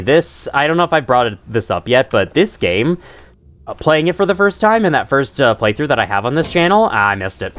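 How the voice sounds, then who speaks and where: A young man talks through a game in loose, rambling commentary, swearing now and then. His nose sounds stuffy, and his pitch swings widely up and down as he chats. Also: a bit bright. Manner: rambling, chatty, swears casually. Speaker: gaming channel host. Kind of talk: casual let's-play commentary.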